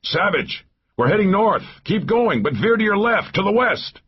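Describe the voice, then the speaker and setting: Narrator as a frantic shouting action hero. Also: male voice, high voice, sing-song, normal speed, husky, lo-fi sound, voice pushed up, exaggerated voice. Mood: urgent shouting. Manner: urgent, shouting, commanding. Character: frantic male hero shouting orders under fire.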